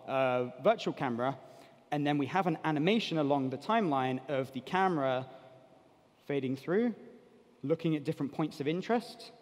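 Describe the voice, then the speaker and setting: A man in his thirties giving a live software demo as a conference presenter, explaining things informally. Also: a little high. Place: large indoor conference stage. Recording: reverberant hall stage recording.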